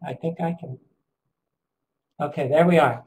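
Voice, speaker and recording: A man with a raspy, strained voice presenting an education webinar over a call, pausing often with ums. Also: fairly high pitch, a little mellow. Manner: hesitant, many ums, measured.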